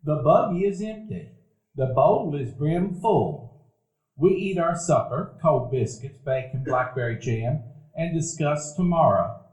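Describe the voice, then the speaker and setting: A man's voice: fairly high pitch, expressive, unhurried, darkish tone. A man narrating a live radio play in a reflective, storytelling way. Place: event hall before a live audience. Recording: echoey hall recording.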